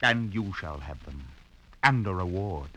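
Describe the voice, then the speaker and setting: An actor playing an indignant, vengeful colonial governor in a classic radio drama, blustering and emphatic. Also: male voice, low voice, expressive, unhurried, bright, forceful tone, muffled recording, exaggerated voice.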